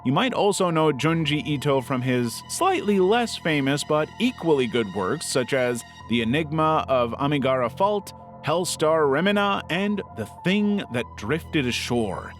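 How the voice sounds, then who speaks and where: A man's voice: on the high side, expressive, unhurried. A man reading a scripted video essay as its narrator. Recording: music under the voice. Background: background music.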